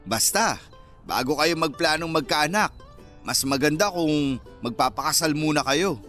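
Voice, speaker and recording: A man's voice: fairly high pitch, lively intonation, slow, pushed and bright, soft background music, heavily acted. An actor with a Filipino accent playing a cocky, joking young man in a radio drama. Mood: mock annoyance. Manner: joking, mock-wounded banter. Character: cocky, teasing young man, the couple's single best friend.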